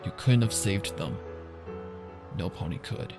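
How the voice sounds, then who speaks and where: A man voicing a caring young pony stallion with soft, low-key delivery in a fan fiction reading. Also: measured pace, faint music behind. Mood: consoling. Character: weary, tender young stallion, protective boyfriend.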